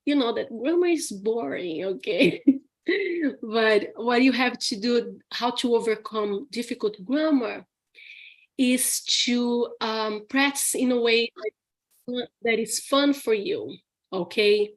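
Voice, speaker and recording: A woman's voice: a little high, slow. A woman with a Brazilian accent, an English teacher answering questions in a live Q&A, chatty and laughing often, with many restarts and "okay?" checks. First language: Portuguese. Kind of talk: live Q&A session.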